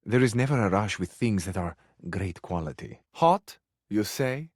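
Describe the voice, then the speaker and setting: An actor playing a flowery, sensual, effusive Italian suitor who flirts overzealously in a video game, with an Italian accent. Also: male voice, lowish voice, expressive, normal speed, no echo, studio quality. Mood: amorous, flirtatious.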